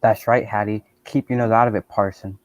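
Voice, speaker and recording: An actor playing a rough, mocking street loafer in old-style radio drama, with sneering, colloquial speech. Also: male voice, on the low side, fairly even intonation, brisk, darkish tone, dry recording, lo-fi sound, close to an everyday voice. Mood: threatening. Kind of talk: 1940s radio drama acting.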